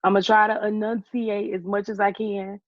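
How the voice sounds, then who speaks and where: A woman co-hosting a casual podcast over a video call, hoarse from losing her voice and talking loudly to be heard, with frequent ums. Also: mid-range voice, little pitch movement, moderate pace, no room sound. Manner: talks loudly to push past a weak voice, with frequent ums. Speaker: podcast co-host. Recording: dull lo-fi video call audio.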